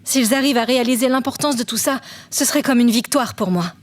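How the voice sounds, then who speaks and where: A woman's voice: fairly high pitch, fast, lightly acted. A woman playing an earnest, affectionate young science student in a naturalistic fiction podcast. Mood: wistful, hopeful.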